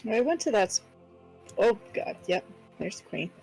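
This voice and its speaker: A woman's voice: medium pitch, big pitch swings, moderate pace, gravelly, darkish tone, husky, poor audio. A woman chatting casually and offhand as a companion on a livestream, with a lightly teasing way of talking. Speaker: streamer's companion, playing games. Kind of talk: casual chat. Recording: music playing under the voice. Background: background music.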